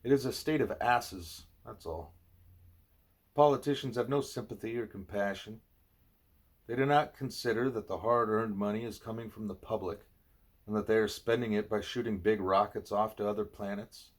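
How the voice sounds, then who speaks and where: A man's voice: on the low side, normal speed. A man reading a spiritual book aloud in the manner of an audiobook narrator. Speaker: audiobook reader.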